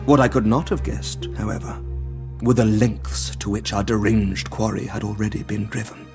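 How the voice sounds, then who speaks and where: Earnest, articulate gentleman doctor. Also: lowish voice, fairly even intonation, moderate pace, gravelly, over music. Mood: somber narration. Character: earnest, grave gentleman doctor, narrating his ordeal. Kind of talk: dramatic audio drama acting and narration.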